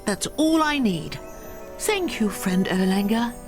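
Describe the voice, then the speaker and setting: An actress playing a calm, resolute female hero in a fantasy video game, solemn and measured. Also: fairly high pitch, soft background music. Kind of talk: video game character acting.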